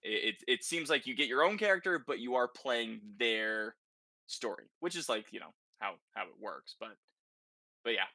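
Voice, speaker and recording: A man's voice: medium pitch, animated, normal speed, sharp tone, dry recording. A man hosting a casual, conversational podcast discussion about video games, often checking in with short tag questions. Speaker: podcast host.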